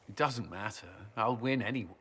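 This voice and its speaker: A man's voice: fairly low pitch, a little fast, pushed and bright. An actor playing a reserved, awkward military commander in his thirties in fantasy game dialogue, earnest and a little hesitant. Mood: dry, playful. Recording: game audio with background ambient noise.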